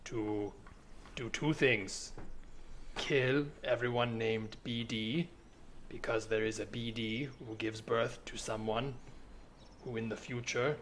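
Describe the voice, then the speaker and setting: A man improvising a stoic, literal-minded robot from the future disguised as a girl, speaking in halting, self-important declarations for a comedy podcast. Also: medium pitch, steady tone, a bit bright, a little hiss or hum. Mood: grandly earnest.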